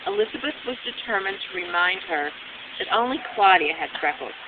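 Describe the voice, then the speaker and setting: A woman reading her own children's book aloud as narrator, with animated delivery and dramatic emphasis. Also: brisk. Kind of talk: expressive storybook narration. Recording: phone-like narrow band, loud hiss and rumble.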